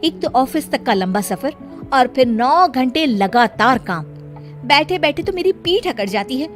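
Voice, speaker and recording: A woman voicing a young, overworked daughter-in-law in a cartoon story, talking back quickly and with exasperation. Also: high voice, lively intonation, faint music behind. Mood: complaining. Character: young working daughter-in-law, busy, defensive.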